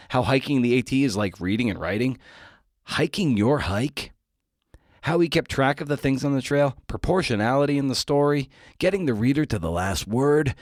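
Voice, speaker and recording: A man's voice: medium pitch, no room sound, clean studio sound. A man speaking as a podcast host, introducing and interviewing a guest.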